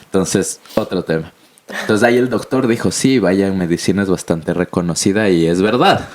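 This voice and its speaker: Casting: young Ecuadorian man with a very low voice, rambling podcast co-host. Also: normal speed. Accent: Ecuadorian Spanish, from Quito. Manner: rambling, self-correcting, chatty. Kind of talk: casual chat.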